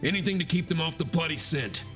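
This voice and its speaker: An actor playing a grave, dutiful battalion commander in a sci-fi motion comic, clipped and commanding. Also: male voice, a little high, expressive, fast talker, pushed and bright. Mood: regretful, weary. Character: stern, weary male battalion commander, self-blaming and dutiful. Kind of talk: animated motion-comic drama acting. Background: music.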